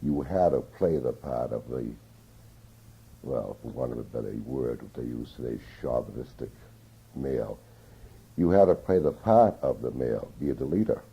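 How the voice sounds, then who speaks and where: An older man recalling his childhood in an oral history interview, speaking slowly and reflectively with many hesitations, on an old tape recording. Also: a bass voice, expressive, creaky, dark tone, husky. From Newton, Massachusetts. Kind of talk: reminiscing in an interview. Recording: dull lo-fi 1981 tape.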